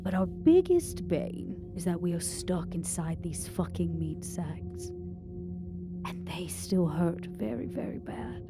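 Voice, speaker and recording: Casting: woman as sardonic vampire torturer, lower register, unhurried threats, for a tabletop horror game with music under. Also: animated. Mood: menacing, sardonic. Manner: slow, deliberate, sardonic threats. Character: menacing, mocking, coolly sadistic female vampire interrogator. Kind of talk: tabletop role-play.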